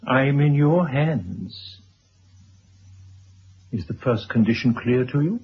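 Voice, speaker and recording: Radio drama schemer: older gentleman, measured, dry, politely unyielding, mid-range voice, dull recording with faint hiss. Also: medium pitch, expressive. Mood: calmly insistent. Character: calm, calculating older gentleman author, coolly insistent.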